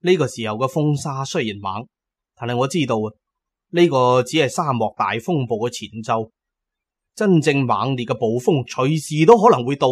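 A man's voice: mid-range voice, lively intonation, moderate pace, slightly rough. A man narrating a first-person adventure story as a dramatic audiobook storyteller.

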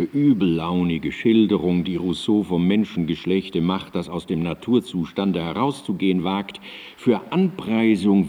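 An earnest, reflective philosopher of the Enlightenment in a radio play. The voice is low and very mellow. He declaims in measured, emphatic phrases, ringing out in a reverberant hall. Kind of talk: radio drama monologue of historical writings.

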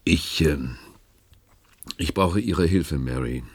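An actor playing a calculating, cynical government security official in a German radio play, ironic, deliberate and coolly polite. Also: male voice, rumbling low, slightly rough, heavily acted. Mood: urgent, insistent.

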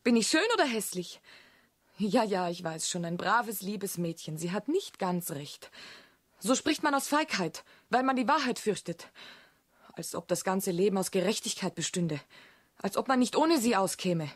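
A woman's voice: mid-range voice, animated, normal speed, close to an everyday voice. A woman acting a bold, impatient young noblewoman, romantic and mocking, in a German radio drama. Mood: mocking, challenging.

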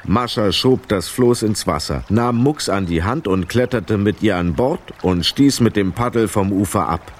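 A man's voice: fairly low pitch, moderate pace, hardly any pauses, natural voice. A man narrating a children's adventure tale as the storyteller of a radio play. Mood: storytelling.

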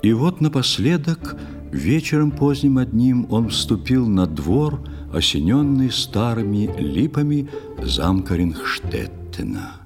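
A man solemnly reciting a poetic tale as narrator of an audio play, measured and expressive. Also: medium pitch. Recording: long hall reverb, music behind.

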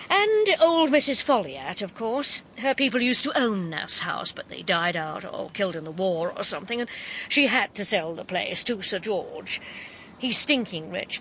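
An actress playing a gossipy, brisk, wry middle-aged woman with an upper-class English accent in a radio drama. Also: medium pitch, fairly bright tone, a little hiss or hum, low-quality recording. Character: chatty, scatty, good-humoured English lady crime novelist.

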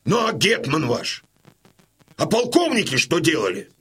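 Gruff Cossack officer, husky, forceful, widely swinging pitch, authoritative, audio drama. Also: male voice, big pitch swings, a little slow, heavily acted. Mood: stern command. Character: authoritative Cossack colonel, stern, gruff, commanding.

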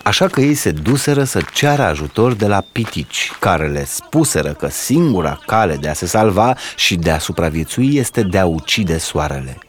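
A man reading a folk tale aloud as a storyteller, in an expressive, dramatic storybook style. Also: low-pitched, hardly any pauses, a little hiss or hum.

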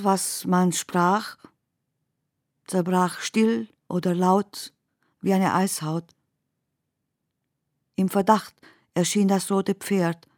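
A woman reciting poetry in a radio play with measured, deliberate phrasing. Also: fairly low pitch, darkish tone, no room sound, studio quality. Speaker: poet reading own text. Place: dry studio.

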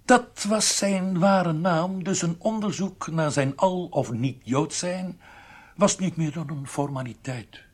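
An actor playing a formal, menacing Egyptian prison doctor who interrogates with measured politeness in a radio drama. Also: male voice, on the high side. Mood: formal, probing.

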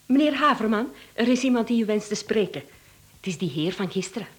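An actress playing a formal, courteous office secretary in a radio play. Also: female voice, medium pitch, expressive, brisk, natural voice.

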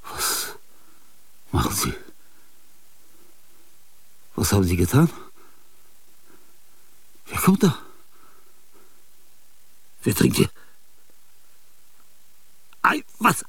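An older man acting in a radio play, with a husky, gravelly voice and a halting, dreamlike monologue that slips into whispers. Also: a little high, fairly bright tone, dry recording, distant mic. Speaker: radio drama actor.

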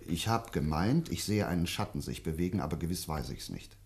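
A man's voice: low-pitched, animated, brisk, a little mellow. An actor playing an earnest doctor in a radio play, insistent but often searching for his words. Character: earnest, insistent male doctor investigating a mystery. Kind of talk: German radio play acting.

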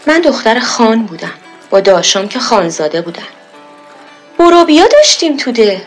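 A woman's voice: a little high, sing-song, soft background music. A woman playing a proud, fretful middle-aged village mother, folksy and lamenting, in a period radio drama. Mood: proud reminiscing. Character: proud, fretful village-born mother, khan's daughter, doting and scolding.

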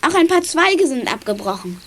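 A woman playing a confident, brisk young kid detective in a children's audio drama. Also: high voice, very animated, fairly bright tone. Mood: focused. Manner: brisk, matter-of-fact, assured. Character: confident young detective kid, eager tracker, chief sleuth.

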